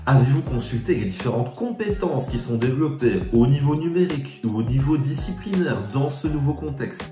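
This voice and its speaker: A middle-aged man from Belgium with a Belgian French accent, a pedagogical advisor giving measured, explanatory advice directly to teachers. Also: mid-range voice, lively intonation, warm tone, reverberant, faint music behind. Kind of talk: scripted educational talk to teachers.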